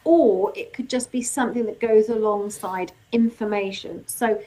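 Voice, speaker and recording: Woman with a voice turning croaky. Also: medium pitch, normal speed, warm tone, rough recording. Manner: reads out audience questions and answers them informally, with fillers. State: voice getting croaky. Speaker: legal workshop host. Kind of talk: live webinar Q&A.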